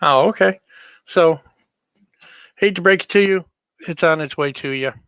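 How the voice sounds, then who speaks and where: Casting: easygoing male streamer, lighter-pitched voice, casual chat with viewers, very dry room sound. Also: measured pace, a bit bright, clean tone, no room sound. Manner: easygoing, informal. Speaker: livestream host.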